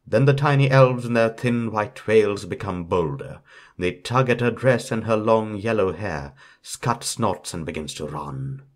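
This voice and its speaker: A man's voice: on the low side, measured pace. A man reading a fairytale aloud as a storyteller, in scripted narration.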